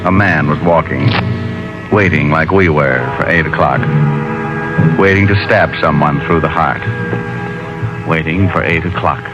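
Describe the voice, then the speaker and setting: A weary, steady private eye with an American accent and a deep voice. He speaks in hardboiled radio drama style, in a dull old recording over prominent music. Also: unhurried, pauses often. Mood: grim, weary. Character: world-weary male private detective, brooding and steady. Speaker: private detective narrator. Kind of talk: hardboiled 1940s-50s radio drama narration and dialogue. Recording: muffled vintage radio with music bed.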